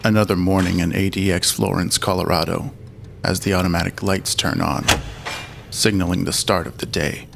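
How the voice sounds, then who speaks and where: Male drama narrator, very low and creaky, reading scripted scenes over faint noise. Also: fairly even intonation, moderate pace, a bit bright. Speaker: narrator of an audio roleplay drama.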